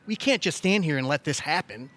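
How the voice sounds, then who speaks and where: A man playing an earnest, hesitant, credulous brother in a comedic audio play, tentative but easily excited. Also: fairly high pitch, brisk. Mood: eager, anxious. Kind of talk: comedic audio theatre acting.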